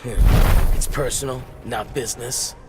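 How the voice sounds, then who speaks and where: A man's voice: medium pitch, steady tone, takes it slowly, natural voice. An actor playing a cocky, brash young male superhero trading fight banter in a video game. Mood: taunting. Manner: cocky trash-talk. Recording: voice under loud game music.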